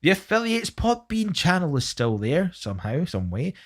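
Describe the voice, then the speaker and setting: A man's voice: on the high side, big pitch swings, normal speed. Chatty Scottish man co-hosting. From Scotland. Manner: chatty, plugs the show's channels. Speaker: podcast co-host. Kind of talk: casual podcast chat.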